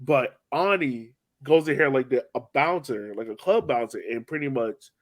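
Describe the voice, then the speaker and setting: A man with an average-pitched voice co-hosts a casual sports podcast. He talks through plays informally, with slang and plenty of "like" scattered through his sentences. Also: animated, normal speed. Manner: informal, slangy, filler-heavy with frequent "like". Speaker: soccer podcast co-host. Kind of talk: casual chat, sports match recap.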